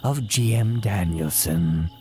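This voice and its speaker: A man playing a courteous, gleefully sinister cemetery keeper, telling horror tales in grand, archaic, drawn-out phrases like old-time radio drama. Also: fairly low pitch, mellow, faint music behind. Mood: grandly ominous. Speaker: horror storyteller host.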